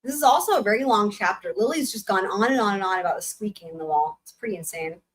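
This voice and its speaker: A young woman hosting a live book reading, breaking off for blunt, sarcastic comments, her voice going hoarse. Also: mid-range voice, lively intonation, quick pace. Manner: sarcastic, blunt asides with swearing. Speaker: livestream host reading a book aloud.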